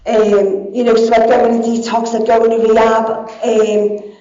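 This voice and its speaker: A woman's voice: on the high side, flat delivery, normal speed, dark tone. A woman, giving a candid, blunt personal recovery talk with plenty of swearing. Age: 40s. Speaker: speaker in recovery. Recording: echoey dull hall sound.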